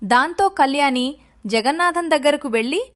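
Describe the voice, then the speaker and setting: A woman's voice: high voice, normal speed, a bit bright. A woman reading a scripted children's moral story as its narrator.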